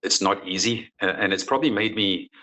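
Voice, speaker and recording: Casting: low, forceful-toned man from South Africa, a coach in his forties, frank and halting. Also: low-quality recording. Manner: earnest, frank, halting with restarts. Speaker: football coach. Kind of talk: candid interview answers.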